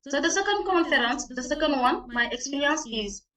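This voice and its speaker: Bright-voiced Senegalese woman on a distant mic. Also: high voice, animated, a little fast. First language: not English. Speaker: English teacher, conference panellist. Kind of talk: informal spoken presentation of own experience. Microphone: video call. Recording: distant online meeting microphone.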